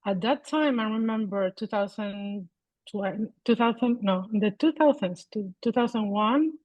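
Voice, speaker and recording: A woman in her forties with a Venezuelan accent, a guest artist talking over a video call in a thoughtful, halting way, often restarting her sentences. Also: mid-range voice, no echo, rough recording. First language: Spanish. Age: 40s.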